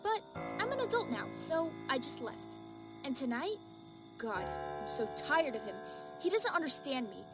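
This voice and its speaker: High-voiced, lonely, anxious young woman. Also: sing-song. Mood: upset, frustrated. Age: young adult. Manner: introspective, self-doubting, halting. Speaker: actor. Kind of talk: dramatic radio play monologue. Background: music.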